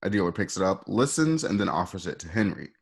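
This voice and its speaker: A man's voice: sits low, big pitch swings, fast talker, vocal fry. A man narrating scene directions for an amateur script read, informal and conversational. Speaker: narrator reading stage directions.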